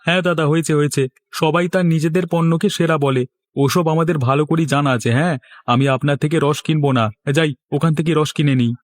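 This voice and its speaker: A man's voice: on the high side, fairly even intonation, quick pace, close to an everyday voice. A man voicing a skeptical, bargain-hunting market customer in a cartoon, with a dismissive, haggling manner. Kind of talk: cartoon voice acting.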